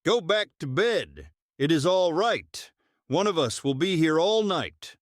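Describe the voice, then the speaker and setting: An actor playing a steady, protective night guard in an audiobook, plain-spoken and reassuring. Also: male voice, a little high, measured pace, dry recording, studio quality. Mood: hushed reassurance. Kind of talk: dramatized audiobook acting.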